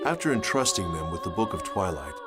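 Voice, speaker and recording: An actor playing a sober engineer recounting his journal in measured, grave narration for a video game. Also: sits low, big pitch swings, over loud music. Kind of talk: scripted dramatic narration.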